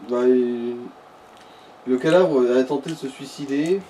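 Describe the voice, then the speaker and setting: Man, dark relaxed voice, dry sweary gaming chat, slightly distant mic, faint noise. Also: mid-range voice, unhurried. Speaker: co-commentator guiding the player.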